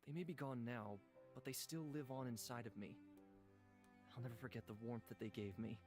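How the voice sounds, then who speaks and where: Guy playing a gentle old god in a mortal body, solemn and reflective, game dialogue over soft music. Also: mid-range voice, fairly even intonation, close to an everyday voice. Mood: tender, grateful. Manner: measured, solemn, reflective storytelling. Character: gentle ancient god in human body, wistful male. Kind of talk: visual novel voice acting. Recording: music behind the voice. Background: instrumental music.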